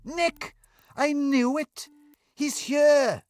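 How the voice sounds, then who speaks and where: Man putting on frantic conspiracy-ranting man, high strained voice, breathless rambling, storybook reading. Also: very animated, normal speed, faint music behind, full character voice. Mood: excited. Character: frantic middle-aged man chasing robot conspiracies.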